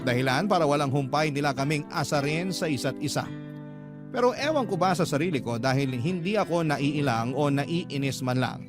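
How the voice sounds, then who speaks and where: A man's voice: a little high, lively intonation, fairly quick, close to an everyday voice. An actor playing a smitten office worker, narrating his love story earnestly and sentimentally in a Tagalog radio drama. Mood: fond, reflective. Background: acoustic guitar music.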